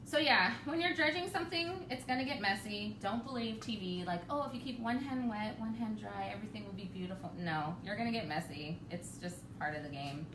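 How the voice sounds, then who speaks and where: A woman in her thirties hosting a live home cooking demo, chatty and relaxed, laughing often. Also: medium pitch, a little fast, hardly any pauses. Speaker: cooking show host. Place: home kitchen, indoors. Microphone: camera across the kitchen. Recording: roomy, distant, noisy home kitchen.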